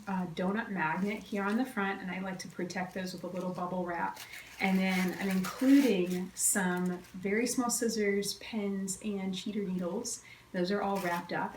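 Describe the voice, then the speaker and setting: A woman with a slightly stuffy, cold-affected voice, chatting informally and enthusiastically as a craft podcast host. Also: medium pitch. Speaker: podcast host, quilting teacher. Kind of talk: casual solo chat, craft update. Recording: roomy echo, distant mic, faint noise.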